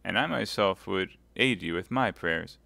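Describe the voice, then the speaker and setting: A devout young soldier speaks gravely and evenly, with solemn restraint, as a male narrator plays him. The voice is low and dry, close to the mic. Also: bright, forceful tone, no room sound, heavily acted. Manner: serious, measured, solemn.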